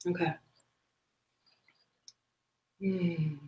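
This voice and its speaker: A woman hosting a live spiritual broadcast, soft-spoken and dreamy, with many fillers. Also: on the low side, steady tone, drawn-out words. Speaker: host. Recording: echoey room.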